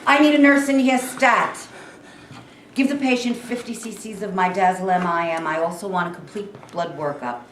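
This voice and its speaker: A woman playing a brisk, authoritative young male emergency doctor in a staged play reading, clipped and commanding. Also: mid-range voice, distant mic, lightly acted. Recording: echoey room from afar, faint noise.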